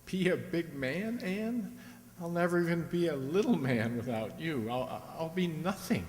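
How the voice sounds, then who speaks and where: A fairly high-voiced young man, anguished and devoted as an actor plays him, stumbles over his words with feeling. He is humble and halting, in radio drama style, ringing in an echoey hall. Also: lively intonation, darkish tone. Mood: pleading, distraught. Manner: halting, repeats words in emotion.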